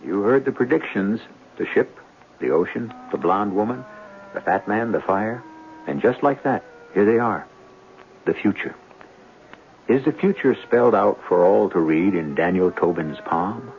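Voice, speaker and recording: Deep-voiced male radio drama host. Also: expressive. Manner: measured, theatrical, suspenseful. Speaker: host and narrator. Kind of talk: dramatic scripted radio narration, 1970s. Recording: tinny, hissy 1970s radio broadcast recording.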